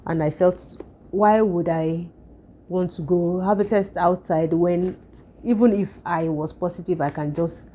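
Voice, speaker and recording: Hoarse woman chatting in a low voice. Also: steady tone, a little slow, dark tone, slight background noise. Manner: chatty and explanatory, with frequent fillers and restarts. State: hoarse, recovering from illness. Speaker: vlogger. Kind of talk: casual vlog chat. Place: at home.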